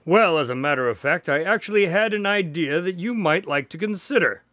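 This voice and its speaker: A man's voice: fairly high pitch, lively intonation, brisk, sharp tone, dry recording. Good-natured storytelling doctor male actor, radio play: formal, wry, swooping intonation, very dry close recording. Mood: eager, persuading. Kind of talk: radio drama acting and storytelling.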